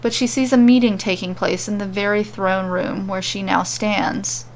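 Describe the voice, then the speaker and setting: A woman's voice: medium pitch, steady tone, moderate pace. A woman narrating scripted comedic fan fiction as a storyteller.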